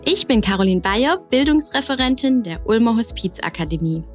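A woman's voice: fairly high pitch, lively intonation, a little fast, soft background music. A woman hosting a podcast interview, asking friendly and encouraging questions. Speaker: podcast host.

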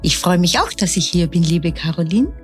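Middle-aged woman guest; deliberate, calm explainer with a lower voice. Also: soft background music. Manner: calm, measured, often slow and deliberate. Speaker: nurse and sound bowl practitioner. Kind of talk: explanatory interview answers.

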